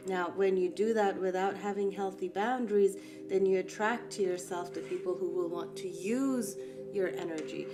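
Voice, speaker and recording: A woman whose first language is Farsi speaks as an art therapy teacher, calm and reflective with gentle emphasis. Her voice sits at a middle pitch. Clear music plays behind her throughout. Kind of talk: guided art lesson talk.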